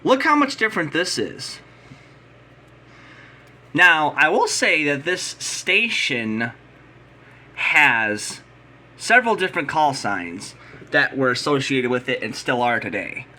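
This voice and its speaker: A man's voice: fairly high pitch, very animated, slow, long pauses, sharp tone. An American man giving an unscripted, opinionated explainer in a blunt, combative, emphatic manner. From United States. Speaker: radio signal hobbyist.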